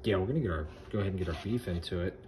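A man's voice: sits low, racing, noisy background. A young man casually talking through a home cooking video, rambling with plenty of fillers. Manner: relaxed, rambling, many fillers. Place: home kitchen.

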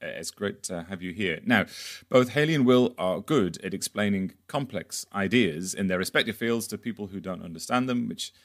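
Male podcast host, low register, wry and playful, presenter-style delivery. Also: expressive, moderate pace. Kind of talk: scripted podcast introduction, then chatty interviewing.

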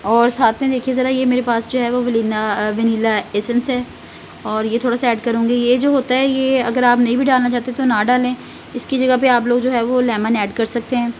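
A woman's voice: a little high, flat delivery, normal speed, muffled recording, a little hiss or hum. Patient woman demonstrating a home cake recipe. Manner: patient, repeats fillers often, directs viewer to look. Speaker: home cooking channel host. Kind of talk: step-by-step cooking demonstration.

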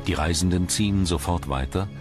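A man reading scripted documentary narration in a measured, articulate storytelling manner. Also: low voice. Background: music.

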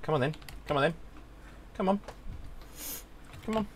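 A man's voice: a little high, lively intonation, moderate pace, slight background noise. A middle-aged man, a father, chatting cheerfully with his son while they play a game, taking his own slip-ups with dry humor. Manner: cheerful, dryly self-mocking, easily surprised. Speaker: father and co-host playing a game. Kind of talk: casual gaming chat.

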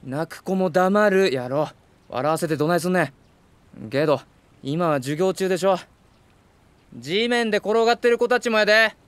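High, clear Kansai male teacher. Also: expressive, moderate pace, dry recording. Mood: taken aback, chiding. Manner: mild, gently scolding, patient. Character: easygoing, well-meaning young male high school teacher. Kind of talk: Japanese voice drama acting. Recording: music behind the voice.

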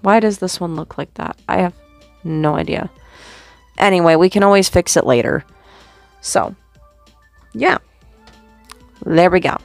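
A woman's voice: fairly low pitch, measured pace, vocal fry, pauses often, no echo, faint music behind. A young woman chatting casually through a makeup routine, her voice a little sore, self-deprecating as she works. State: slightly sore voice. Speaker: makeup vlogger. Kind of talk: casual get-ready-with-me chat. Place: small bedroom with red curtain backdrop. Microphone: small desk mic on boom arm close up.

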